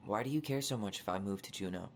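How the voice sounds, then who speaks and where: A man's voice: on the low side, fast talker, natural voice. Man acting laid-back, dry young guy, noisy capture. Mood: low-key, hesitant. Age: young adult. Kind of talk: naturalistic video game dialogue acting.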